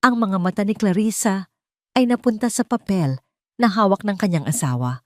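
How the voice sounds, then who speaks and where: A woman's voice: mid-range voice, moderate pace, a little mellow, dry recording, studio quality. A woman reading a scripted romantic drama as a storyteller, with dramatic narration.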